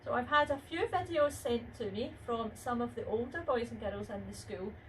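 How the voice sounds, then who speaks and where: A woman's voice: fairly high pitch, fairly bright tone. Upbeat woman addressing pupils. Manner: encouraging, upbeat, speaks slowly to children. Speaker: school teacher leading assembly. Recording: distant mic, faint room noise.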